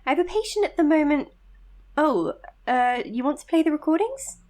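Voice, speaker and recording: A woman playing an earnest, modest young psychiatrist in an audio drama, speaking in a measured, precise, slightly hesitant way. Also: high-pitched, animated, darkish tone. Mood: matter-of-fact. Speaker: doctor being interviewed on a podcast. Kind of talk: audio drama acting, natural interview and voice notes.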